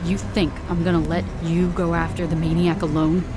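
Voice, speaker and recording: A woman's voice: on the low side, level tone, normal speed, heavy background noise, close to an everyday voice. A woman playing a determined female vigilante with a firm, earnest delivery in a comic dub. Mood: determined, grave. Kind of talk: dramatic comic dub acting.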